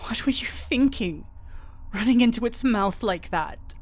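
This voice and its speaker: A woman playing a gentle, desperate doctor in a fantasy audio roleplay, with halting, emotive, breathy delivery. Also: fairly high pitch, expressive. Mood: tender relief. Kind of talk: dramatic audio roleplay acting.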